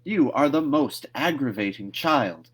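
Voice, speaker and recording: A man voicing a stern older woman who scolds a child briskly in a storybook read-aloud. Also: medium pitch, expressive, breathy edge, voice pushed up. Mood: exasperated.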